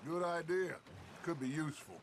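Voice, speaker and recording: An actor playing a practical, calm outlaw in a Western video game. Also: male voice, on the high side, moderate pace, natural voice. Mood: easygoing. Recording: water and boat noise.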